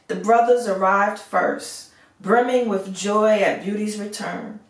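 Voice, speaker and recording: A woman reading a fairy tale aloud as a storyteller, in a measured, expressive way. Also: medium pitch, vocal fry. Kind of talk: scripted fairy tale narration. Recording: echoey room, distant mic.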